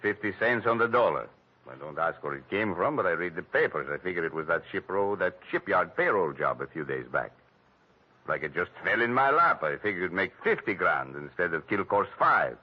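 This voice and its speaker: An actor playing a shady, nervous casino operator in 1940s radio drama, protesting in clipped, broken grammar. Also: male voice, deep voice, lively intonation, brisk, husky. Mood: nervous, defensive. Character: nervous, shady male casino operator, crooked but defensive. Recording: dull old radio recording.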